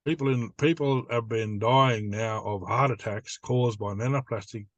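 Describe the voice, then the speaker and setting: Older Australian male elder, mid-pitched, plain-spoken informal teaching, close and dry recording. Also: normal speed, dry recording, lo-fi sound. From New South Wales, Australia. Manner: plain-spoken, repeats phrases in simple lists.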